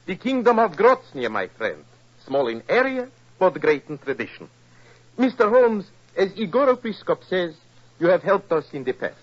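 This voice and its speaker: Political emissary, grand and insistent, high-ish animated voice, muffled old radio drama sound. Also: male voice, moderate pace. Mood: grand, courtly. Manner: formal, grandiloquent, stilted phrasing. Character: florid, emotional, insistent male foreign political emissary. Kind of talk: 1940s radio acting. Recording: muffled, band-limited old radio recording.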